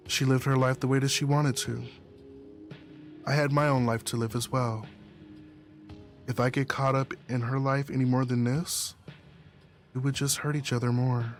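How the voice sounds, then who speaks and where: A man reading a visual novel aloud as first-person narration, in an earnest, measured, dramatic way. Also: medium pitch. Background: game music under the voice.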